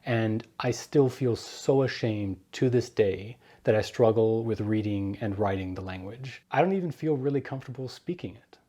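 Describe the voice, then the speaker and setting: A man's voice: lowish voice, normal speed, hardly any pauses, dark tone. A young man in his twenties who grew up in Germany, talking earnestly in a vlog and explaining and giving direct advice. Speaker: vlogger.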